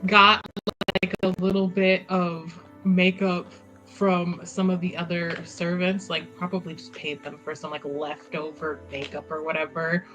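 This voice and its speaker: A woman's voice: fairly low pitch, flat delivery, unhurried, highs cut off, music in the background. A woman playing a tabletop roleplaying game, talking hesitantly with many fillers. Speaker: player. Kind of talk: casual tabletop roleplay chat.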